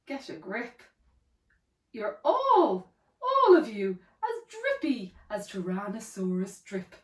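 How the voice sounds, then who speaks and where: A woman voicing a gruff, scornful father dinosaur in a children's storybook, scoffing and blustering. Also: mid-range voice, huge pitch swings, takes it slowly. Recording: roomy, echoey, distant microphone.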